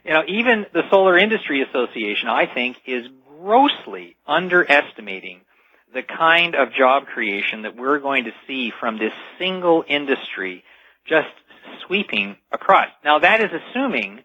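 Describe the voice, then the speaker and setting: A man's voice: a little high, big pitch swings, moderate pace, bright, forceful tone, dry recording. A man speaking as an expert guest in a radio interview, explaining in a conversational way. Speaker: talk radio guest, futurist. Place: radio call-in show. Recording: dull lo-fi radio broadcast.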